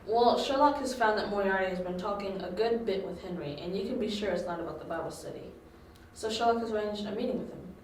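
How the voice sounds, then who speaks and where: A woman playing a calm, patient young confidante who explains things in an amateur audio drama. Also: mid-range voice, fast, natural voice. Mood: explaining. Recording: distant echoey room, faint noise.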